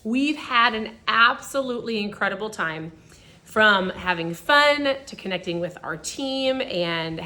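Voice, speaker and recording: A woman in her forties hosting a casual live stream, a little hoarse and raspy, chatting upbeat and straight to her viewers. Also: mid-range voice, animated, measured pace, pushed and bright. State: hoarse from screaming. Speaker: host of a live video.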